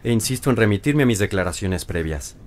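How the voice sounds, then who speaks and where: Man doing a curt cop boss testifying in a radio drama, lowish and quick, very formal. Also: expressive, slight background noise, lightly acted. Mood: firm, defensive. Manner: formal, firm denial. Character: curt, stonewalling male police commander giving testimony. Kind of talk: docudrama podcast acting.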